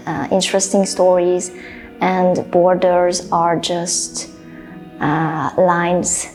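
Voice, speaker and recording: Slow, reflective young woman. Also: on the low side, a little mellow, low-quality recording. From Hungary. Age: 20s. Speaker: program participant. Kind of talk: interview answers.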